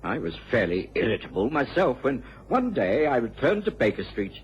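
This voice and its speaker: A man's voice: mid-range voice, big pitch swings, normal speed, slight background noise, close to an everyday voice. A man playing a genial English doctor who narrates his reminiscences in a radio drama. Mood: reflective, weary. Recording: dull vintage radio recording, music behind.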